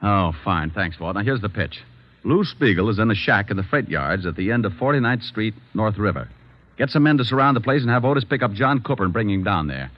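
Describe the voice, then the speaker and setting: Vintage radio detective lead, male actor, slangy and wry, animated swings in a low register, muffled old recording. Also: fairly quick, lightly acted. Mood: brisk, confident. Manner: breezy, wry, slangy delivery. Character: wisecracking hardboiled male private detective, breezy and cool. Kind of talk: 1940s radio acting.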